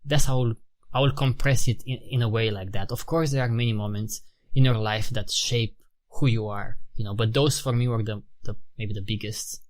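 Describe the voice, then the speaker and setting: A man's voice: fairly low pitch, fairly even intonation, moderate pace. A man in his thirties from Romania with a Romanian accent, a guest in a casual interview who talks thoughtfully, often restarting phrases and saying "you know" and "like". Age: 30s.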